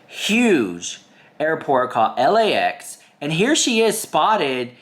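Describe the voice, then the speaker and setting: A man's voice: fairly high pitch, huge pitch swings, takes it slowly, a bit bright. Man host with a cold, stuffy voice, chatty gossip commentary, talks straight to viewers. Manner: chatty, addresses viewers directly, emphatic repetition. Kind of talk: casual gossip commentary to camera.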